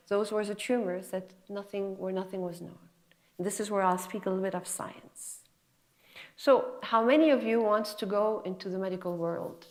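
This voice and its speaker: A middle-aged woman gives a motivational lecture to students in an emphatic, conversational, encouraging way. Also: mid-range voice. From Lebanon and France. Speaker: physician scientist giving a guest lecture.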